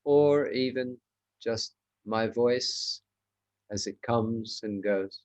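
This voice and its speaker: Male meditation teacher, unhurried pace, short spaced phrases, measured instructional delivery. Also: mid-range voice, darkish tone. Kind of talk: guided meditation.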